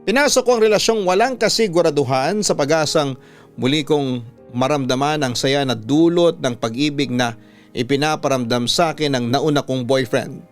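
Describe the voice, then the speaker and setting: A man with a Filipino accent narrating a sentimental first-person love story for radio in a measured, heartfelt way. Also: fairly high pitch, faint music behind, close to an everyday voice. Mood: reflective, tender. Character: reflective narrator reading a letter writer's love story.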